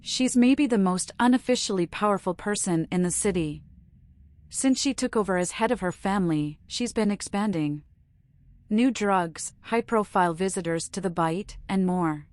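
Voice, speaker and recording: A composed investigative writer in a noir video game, played by a woman, at a medium pitch and recorded very dry. She is assured, businesslike and matter-of-fact. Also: moderate pace, a little mellow, no room sound, lightly acted.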